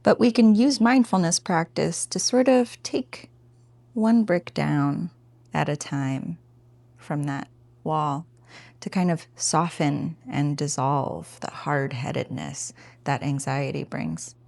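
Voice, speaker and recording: A woman teaching a guided meditation in a gentle, informal, encouraging way. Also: sits low, fairly even intonation, slow, gravelly, dry recording.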